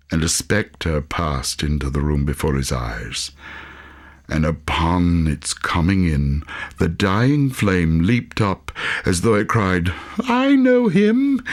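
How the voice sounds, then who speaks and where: A male audiobook narrator with a very low voice. He tells a classic story at a very slow pace, theatrically, stretching out phrases with deliberate care. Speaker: audiobook reader, actor. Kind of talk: dramatic storytelling, scripted narration of a classic tale.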